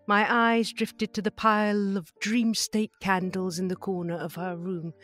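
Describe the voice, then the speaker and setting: A woman acting as a dignified non-human lady tells her story in a measured, literary manner. She is tender toward her beloved and speaks at a middling pitch, with music playing underneath in this audio drama. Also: a bit bright. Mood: tender, reflective. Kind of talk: first-person narration in an audio drama.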